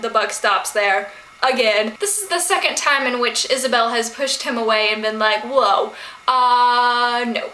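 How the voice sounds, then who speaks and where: A woman's voice: on the high side, bright, forceful tone. A woman chatting casually about books as a vlogger, slangy and full of asides and questions to viewers. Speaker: book vlogger. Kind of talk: casual book discussion to camera. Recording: echoey room with faint noise.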